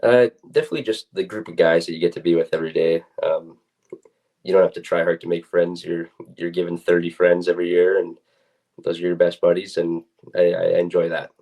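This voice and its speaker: A man's voice: deep voice, little pitch movement, brisk, darkish tone, low-quality recording. A young man, a student athlete, giving casual, plain-spoken and somewhat hesitant interview answers. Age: young adult.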